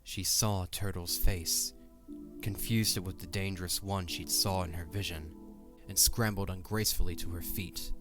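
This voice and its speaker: A man's voice: sits low, steady tone, normal speed, over music. A man narrating a fantasy audio drama from a script.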